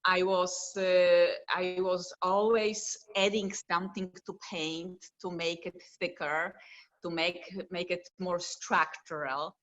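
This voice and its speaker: A woman with a Polish accent speaks in a bright, forceful voice, chatting about her work as a guest artist. Her English has non-native phrasing, and she laughs easily. The sound is dull and lo-fi, as from a video call. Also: lowish voice, flat delivery, takes it slowly. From Poland. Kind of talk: casual interview over video call.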